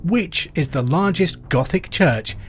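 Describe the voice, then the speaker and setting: A middle-aged man hosting a pub quiz podcast, reading out trivia questions and answers in a clear, deliberate way. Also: on the high side, animated. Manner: clear deliberate delivery, repeats each question. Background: music bed.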